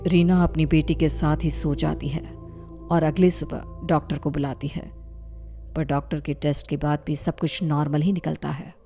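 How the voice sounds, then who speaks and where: A woman narrator reads a scripted story. Her voice is low and stays even, with little movement in pitch. Music plays softly underneath. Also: normal speed. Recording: music behind the voice.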